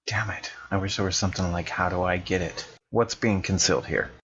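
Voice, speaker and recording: Low-voiced man playing a tabletop game. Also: steady tone, a little fast. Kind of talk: casual game-table talk, thinking aloud. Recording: slightly dull sound with faint noise.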